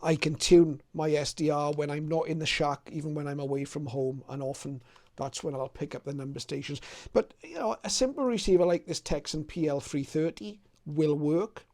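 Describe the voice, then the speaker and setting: A man's voice: a little high, darkish tone. A man presenting a hobby explainer in an informal, conversational way, setting things out step by step. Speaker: presenter of a shortwave radio hobby channel.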